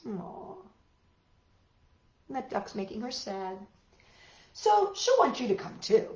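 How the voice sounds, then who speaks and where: A woman's voice: a little high, sing-song, slow, darkish tone. A woman hosting a children's storytime, reading aloud with exclamations and chatty asides addressed directly to young listeners. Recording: roomy, dull lo-fi sound, faint music.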